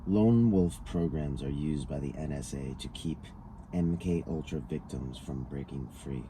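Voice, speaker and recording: A man's voice: rumbling low, unhurried, dark tone, poor audio. A man reading a scripted interview dialogue aloud, taking each speaker's lines in turn. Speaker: reader of a written transcript. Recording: noticeable background noise.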